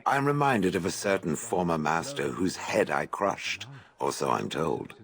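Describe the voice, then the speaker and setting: An actor playing an aloof, sardonic stone golem in a fantasy game, with a deep gravelly voice and deadpan, haughty phrasing. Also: male voice, measured pace, full character voice.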